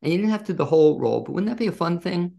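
A male interviewer with a heavily creaky, gravelly voice. He talks quickly in a casual, conversational way as he puts questions to a guest. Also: high voice, lively intonation, mellow. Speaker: interview host.

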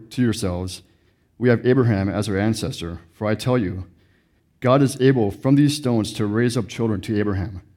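Mellow-voiced man reading scripture. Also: mid-range voice. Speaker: scripture reader.

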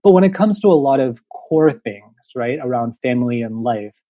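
Man, podcast guest, mellow tone, dry close sound, thoughtful with fillers. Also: mid-range voice, lively intonation, moderate pace, no room sound. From Japan, raised in the United States. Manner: reflective, many fillers and restarts. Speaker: podcast guest, venture capitalist. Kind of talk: conversational interview answers.

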